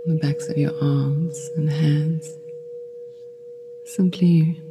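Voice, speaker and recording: A woman gently guiding a yoga nidra meditation in a soothing voice that often trails into a whisper. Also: low voice, little pitch movement, drawn-out words, background noise. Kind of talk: guided meditation. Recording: long reverb with meditation music behind.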